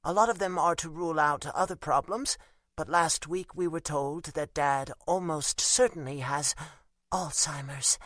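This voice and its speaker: A loving, worried mother in her fifties, acted out by a male narrator. The pitch is raised well above a man's, in a dry audiobook recording. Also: measured pace, a bit bright, no echo. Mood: grave, shaky. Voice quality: shaky, quivering.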